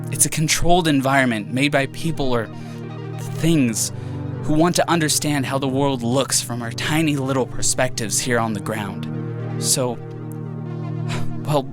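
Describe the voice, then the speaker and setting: A man's voice: mid-range voice, close to an everyday voice. A man playing a brooding young student loner. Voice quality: soft-spoken, reflective, hesitant. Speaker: student character. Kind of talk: audio drama monologue. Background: music.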